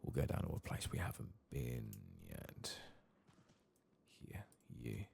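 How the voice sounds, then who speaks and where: A soft-spoken British man giving low-key, rambling ASMR commentary while gaming, sometimes slipping towards a whisper, close to the microphone. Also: very animated, slow pace, vocal fry, background noise. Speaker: gaming streamer, ASMR host.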